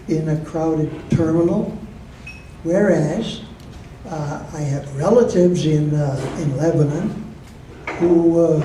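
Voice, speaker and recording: An older man from Michigan gives a talk to a club audience in a slow, deliberate delivery full of pauses. His voice is fairly high yet deeply mellow and dark. He is captured from across an echoey meeting room, with a faint hum of room noise behind him. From Michigan, USA. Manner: slow and measured, with frequent pauses and fillers. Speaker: guest speaker. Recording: roomy echo, heard from a distance.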